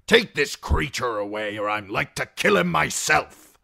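A man voicing a gruff, indignant lord in a fantasy audiobook, growling his words forcefully. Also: sits low, expressive, normal speed, heavily acted. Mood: disgusted, angry. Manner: forceful, blustering. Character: gruff, growling, indignant old-guard nobleman.

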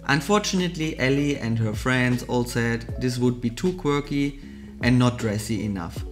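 A man's voice: mid-range voice, moderate pace. Man narrating a watch-shopping vlog. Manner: enthusiastic, explanatory product commentary. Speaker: vlogger, watch channel host. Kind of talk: scripted vlog voice-over. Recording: soft music underneath.

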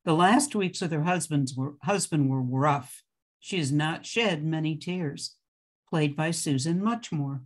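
A woman's voice: low voice, unhurried. An older woman reading a scripted character introduction for an amateur radio play.

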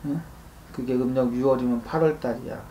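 A man's voice: medium pitch, steady tone, brisk, dark tone. A man in his thirties giving a fortune-telling consultation in a blunt, admonishing manner, often prompting with short questioning grunts. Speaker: shaman fortune-teller. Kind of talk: spoken consultation, informal advice. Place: small indoor consultation room. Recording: roomy echo, faint background noise.

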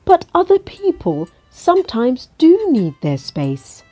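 A woman acts out a kindly hippo father from a storybook, patiently giving advice. The delivery is slow and gently instructive, with lively turns of pitch and faint music behind. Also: breathy edge, heavily acted, low-quality recording. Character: patient, kindly hippo dad explaining to his child.

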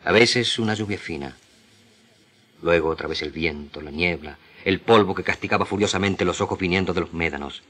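A man with a very low, forceful voice recites literary prose like a trained radio actor. His delivery is solemn and measured, broken by deliberate pauses. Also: breathy edge. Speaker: radio drama actor reading literary excerpts.

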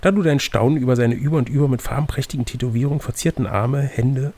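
A man's voice: mid-range voice, quick pace, a little mellow. Man reading a gamebook, playfully. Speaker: game master and reader. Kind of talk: reading a fantasy gamebook aloud with casual banter.

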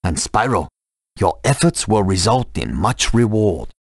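A dignified old dragon teacher in a video game. The voice is on the low side, expressive and cleanly dry. He is formal, courteous and kindly. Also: unhurried, no echo, exaggerated voice. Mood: courteous, serene. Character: dignified elder male dragon master, kindly and serene. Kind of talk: video game character dialogue.

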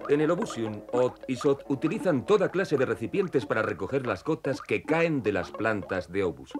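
A man with a mid-pitched voice narrates a children's cartoon. His scripted storytelling swings expressively up and down over clear background music. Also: very animated, normal speed.

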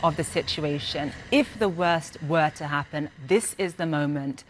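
Woman news anchor on a live panel, low-pitched, measured and reflective delivery. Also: slight background noise. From UK, family from Nigeria.

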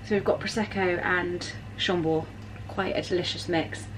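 A woman's voice: fairly low pitch, steady tone, moderate pace, background noise. Chatty woman vlogging at home. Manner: chatty, exasperated storytelling with swearing. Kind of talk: casual chat to camera. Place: home kitchen, indoors. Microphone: camera at arm's length.

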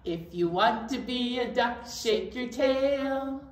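A middle-aged woman presenting storytime to young children, animated and encouraging as she talks and leads action songs. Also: a little high, takes it slowly. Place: indoor library storytime set. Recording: roomy, distant mic, faint noise.

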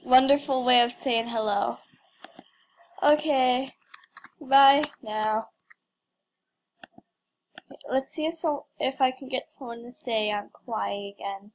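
A woman's voice: on the high side, slow, pauses often, a little hiss or hum, rough recording. A young woman giving casual, playful game commentary with mock drama and exclamations. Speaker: gamer recording gameplay.

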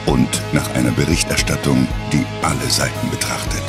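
A man's voice: very deep voice, fairly even intonation, normal speed. A man narrating a scripted commercial. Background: loud guitar music.

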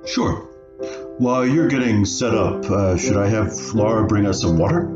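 A courteous older male refugee, played by a man in a radio play, recalls his past in a measured, low voice. The sound is roomy, with loud music behind. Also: animated, lightly acted. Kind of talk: radio play acting, interview recollection. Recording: roomy, dull audio under loud music.

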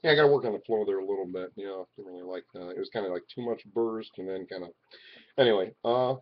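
Erratic man rambling into a webcam. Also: quick pace. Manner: swings between loud outbursts and low mutters. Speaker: vlogger. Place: living room at home, indoors. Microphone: webcam at arm's length. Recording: dull lo-fi webcam audio.

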